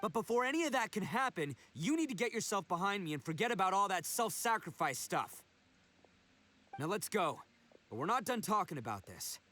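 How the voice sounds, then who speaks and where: A man's voice: high-pitched, very animated, brisk, sharp tone, full character voice. A man playing a brash, big-hearted young male oni gang leader in a video game, with cocky, slangy, earnest delivery. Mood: determined. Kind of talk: English video game voice acting.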